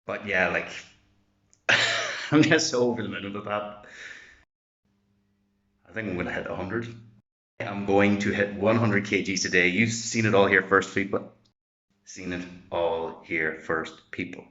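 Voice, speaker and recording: A young man talks to camera between lifts, loose, chatty and easily hyped. His voice sits fairly low and comes through a dull, lo-fi camera mic. The room around him rings with echo. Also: measured pace, long pauses, bright, forceful tone. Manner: boisterous, chatty, swears when hyped. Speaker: vlogger. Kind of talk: casual workout vlog. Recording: echoey, dull lo-fi room sound.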